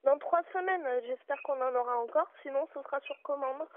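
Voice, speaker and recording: A woman's voice: sits high, fairly quick, no room sound. A woman working as a bookshop clerk, answering a customer call in a polite but firm, patient way. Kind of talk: customer service phone call. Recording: tinny phone line.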